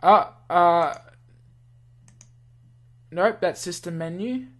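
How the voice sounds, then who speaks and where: A gamer reading a visual novel aloud with casual, easily amused commentary, thinking out loud. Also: mid-range voice, extremely slow. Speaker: gamer, channel host. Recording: music under the voice.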